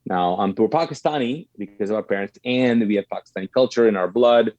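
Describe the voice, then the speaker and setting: A middle-aged man from Kuwait talks as a podcast guest in a low, very mellow voice. He tells his story conversationally, correcting himself and adding asides, in a dry recording. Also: brisk, dry recording, muffled recording.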